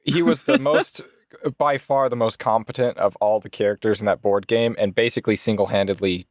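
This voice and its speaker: A man's voice: on the low side, brisk, dry recording, studio quality. A man chatting informally and jokingly as a player in a tabletop roleplaying game. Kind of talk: casual chat at a roleplaying game.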